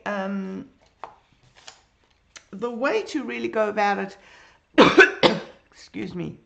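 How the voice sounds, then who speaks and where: A middle-aged woman teaches a drawing lesson over a live stream from her home. Her voice is hoarse and gravelly, with a lot of fry. She talks slowly and chattily, fills her pauses with ums and greets her viewers as they arrive. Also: mid-range voice, a bit bright, a little hiss or hum. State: hoarse, croaky. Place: home room with bookshelves. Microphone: computer webcam.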